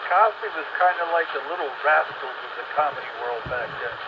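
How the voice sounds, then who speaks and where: High-voiced man in his sixties, noisy radio. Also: brisk. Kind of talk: casual storytelling in radio chat. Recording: muffled radio link with heavy noise.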